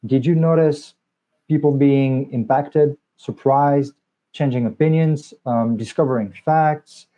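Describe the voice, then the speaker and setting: A man's voice: mid-range voice, slow, warm tone. A man interviewing a film director at a festival, asking questions in an easy, encouraging way. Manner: conversational, encouraging. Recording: dull lo-fi sound.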